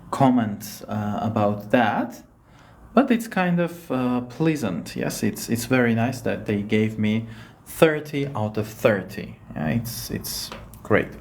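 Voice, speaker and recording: A man with an Armenian accent, a native Armenian speaker, teaching English as he walks through a test on screen. He talks in an unhurried, hesitant way and keeps checking in with "yes?". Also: medium pitch, slight background noise. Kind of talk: screen-share lesson walkthrough.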